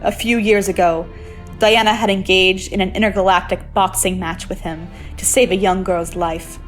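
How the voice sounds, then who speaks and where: A proud warrior woman, played by an actress, in a superhero drama. She is bold and battle-ready, speaking in an earnest, formal way at a middling pitch. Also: steady tone, moderate pace, soft background music, close to an everyday voice. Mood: grave. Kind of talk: superhero fan audio drama.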